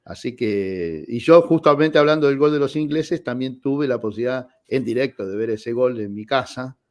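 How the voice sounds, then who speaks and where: A man in his forties with an Argentine accent, a guest telling personal anecdotes in an expressive, conversational radio interview style. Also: mid-range voice, normal speed. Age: 40s. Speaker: guest, tenor singer.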